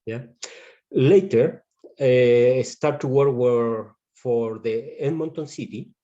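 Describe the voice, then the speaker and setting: A man from Chile with a Chilean Spanish accent gives a webinar presentation as a pest control specialist, in halting non-native English. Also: medium pitch, dark tone, highs cut off.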